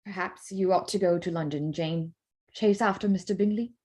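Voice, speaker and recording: Woman playing a spirited, candid young gentlewoman. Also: fairly low pitch, moderate pace, slightly rough. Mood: direct. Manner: frank, articulate. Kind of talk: stage-play reading, period drama.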